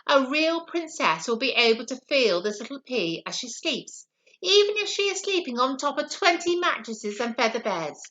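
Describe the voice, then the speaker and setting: A woman's voice: high-pitched, very animated, moderate pace, a bit bright, poor audio. A woman voicing a bossy, nagging older queen and mother from a storybook, imperious, proper and emphatic. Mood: commanding. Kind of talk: storybook character voice.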